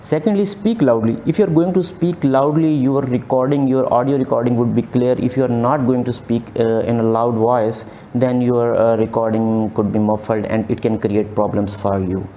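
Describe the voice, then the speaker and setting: Man teaching exam tips, mellow voice. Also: mid-range voice, a little hiss or hum. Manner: instructive, repeats key points, frequent uh fillers. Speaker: OET exam tutor. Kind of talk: slide lecture. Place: small indoor room, webcam inset.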